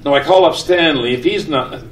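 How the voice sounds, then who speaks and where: A man's voice: on the high side, brisk, close to an everyday voice. Man acting a flustered, stammering husband, echoey distant recording. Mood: confused, exasperated. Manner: stammering, repeating himself. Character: flustered, harried husband rushing to catch a taxi. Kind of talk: live old-time radio comedy sketch reading. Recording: echoey room, distant mic, faint noise.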